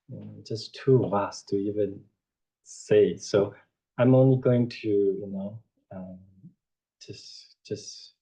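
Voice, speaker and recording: A man gives an informal dharma lecture over a video call, unhurried and rambling, with frequent "you know" and self-corrections. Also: fairly low pitch, warm tone. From Taiwan. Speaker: Buddhist teacher giving a talk. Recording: dull lo-fi online audio.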